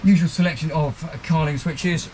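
A man's voice: a little high, steady tone, normal speed, warm tone, a little hiss or hum. A man, giving an upbeat walkthrough tour of a small motor boat cabin, filmed on a handheld camera. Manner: chatty, upbeat, pointing out features as he moves. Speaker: boat reviewer.

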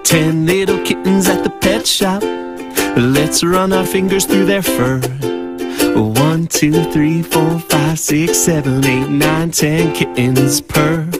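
Low, slow woman over loud ukulele music. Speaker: children's counting song narrator. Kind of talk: slow singsong counting for children. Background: children's ukulele music.

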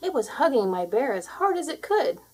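Woman, children's read-aloud, very animated pitch, slightly breathy husky voice, dramatic storyteller. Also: normal speed. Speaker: storybook reader.

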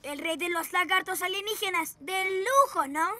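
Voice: extremely high pitch, moderate pace, sharp tone, dry recording, exaggerated voice. An actor playing an eager six-year-old boy superhero in a cartoon, with a Latin American Spanish accent. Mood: earnest. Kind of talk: animated cartoon dialogue.

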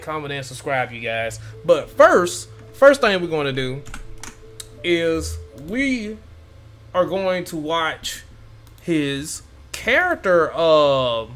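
A young man in a home room giving casual, excited reaction commentary on a game, repeating phrases and stumbling over names. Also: on the high side. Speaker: gaming reaction YouTuber. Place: home room with gaming chair.

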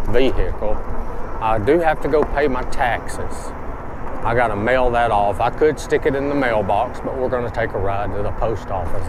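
Rambling man on a bike ride. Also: mid-range voice. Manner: rambling, thinking aloud with fillers and restarts. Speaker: vlogger. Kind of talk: casual chat while riding an e-bike. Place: outdoors on a road, riding a bike. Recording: loud road and wind noise outdoors.

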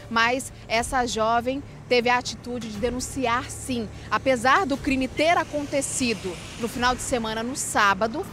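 A woman reporting live outdoors at night into a handheld mic, in a measured, formal tone. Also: a little high. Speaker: field reporter. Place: outdoors on a city street at night.

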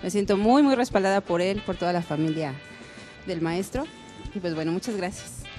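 A woman's voice: lowish voice, normal speed, a little mellow, soft background music. A woman politely introducing herself as a musician guest in a TV studio interview.

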